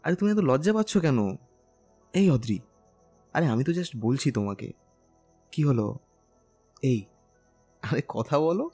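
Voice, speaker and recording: A man's voice: mid-range voice, expressive, unhurried, pauses often, dark tone, slightly rough. A man playing a tender, teasing young bridegroom in a romantic audio drama, speaking earnestly and persuasively. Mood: teasing, affectionate. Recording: music bed under the voice.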